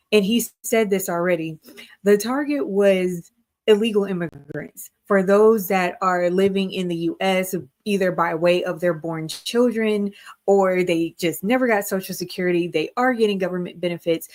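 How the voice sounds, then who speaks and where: A woman's voice: mid-range voice, darkish tone. A woman co-hosting a casual live-stream discussion, explaining earnestly and checking her notes as she goes. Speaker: co-host.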